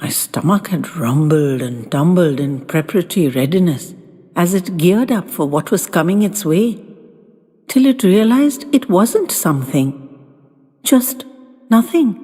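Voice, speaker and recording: A woman narrating a first-person audio story in a wistful, theatrical and deliberate way. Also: low voice, warm tone, slightly rough. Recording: long reverb, music underneath.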